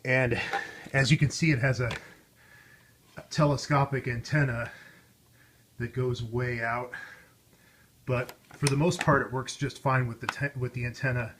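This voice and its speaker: A man's voice: medium pitch, steady tone, unhurried, pauses often, background noise. A man hosting a casual show-and-tell, explaining things in an informal, conversational way. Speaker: host of a vintage radio showcase.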